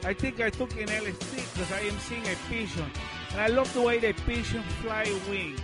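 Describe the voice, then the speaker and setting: A man's voice: high-pitched, a little fast. A man, rambling goofily and mock-singing in a comic radio bit. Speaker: radio show regular. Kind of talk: comic parody of a song over music. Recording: loud music behind the voice.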